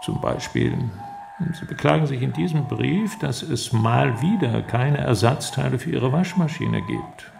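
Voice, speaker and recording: An actor playing a cold, pedantic East German interrogator, officious and deliberate, in a radio play. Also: male voice, mid-range voice, animated, gravelly, darkish tone, soft background music. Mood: cold, menacing.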